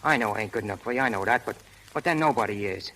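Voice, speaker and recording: An actor playing a brash, hot-tempered but lovestruck prizefight manager, talking in rough street slang in 1930s radio style. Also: male voice, on the low side, animated, rapid-fire, pushed and bright, full character voice, rough recording. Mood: bashful, dejected. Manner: tough, slangy, ungrammatical street talk. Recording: old 1930s radio broadcast recording.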